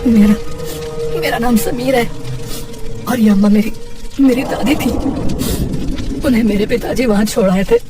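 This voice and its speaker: A woman voicing an emotional, indignant young man in a cartoon drama, halting and repeating phrases for emphasis. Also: on the high side, recorded from a distance, music in the background, natural voice. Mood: grieving, bitter. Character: grieving, furious young grandson confronting his cruel father.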